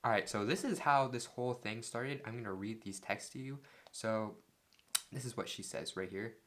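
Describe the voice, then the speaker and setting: A man's voice: on the low side, moderate pace, a bit bright. A young man telling a story in a casual, joking way and reading text messages aloud, with lots of "like" fillers. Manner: loose and jokey, many "like" fillers. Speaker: vlogger.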